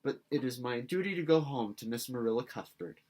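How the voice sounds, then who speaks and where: A man's voice: medium pitch, lively intonation, brisk, a little mellow, lightly acted. A man reading a storybook as an earnest, dramatic orphan girl who pleads in formal, effusive speech. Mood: earnest, polite.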